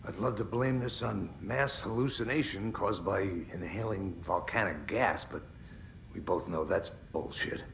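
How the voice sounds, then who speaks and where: An actor playing a wry, blunt investigator in a video game, with a gravelly, matter-of-fact delivery. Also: male voice, sits low, measured pace, a little hiss or hum. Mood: wry, sober. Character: wry, blunt male investigator in supernatural case. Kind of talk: naturalistic video game acting.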